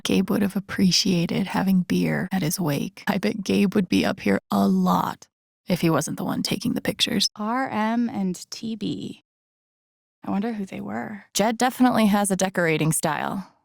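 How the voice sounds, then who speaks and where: Creaky-voiced, introspective young woman. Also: moderate pace, no echo, clean studio sound. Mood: weary, wistful. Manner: low-key, introspective, muttering to herself. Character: grieving, wry, determined young woman in a drama game. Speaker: video game voice actress. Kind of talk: naturalistic game character lines, inner-monologue asides.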